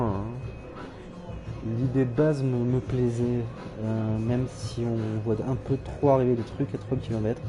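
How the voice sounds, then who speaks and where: A man streaming a game, rambling hesitantly with many fillers and self-corrections, with dry irony. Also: mid-range voice. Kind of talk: casual rambling game commentary.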